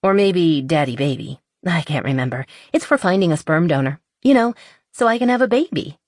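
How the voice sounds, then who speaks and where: A woman's voice: sits low, animated, fairly quick, a little mellow, dry recording, clean studio sound. A woman voicing a playful, chatty, impulsive young woman who is a little tipsy, in a romance audiobook. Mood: giddy, chatty.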